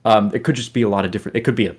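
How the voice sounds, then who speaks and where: Lower-voiced man in casual podcast chat. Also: very fast, husky. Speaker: podcast co-host. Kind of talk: casual group chat about entertainment.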